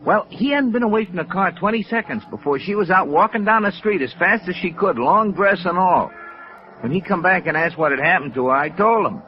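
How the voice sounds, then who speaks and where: Slangy, animated filling station man. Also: high voice, a little fast. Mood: chatty. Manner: casual, slangy, plain-spoken. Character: talkative, slangy filling station attendant, sympathetic. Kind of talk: 1950s radio drama acting. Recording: dull old radio recording with music.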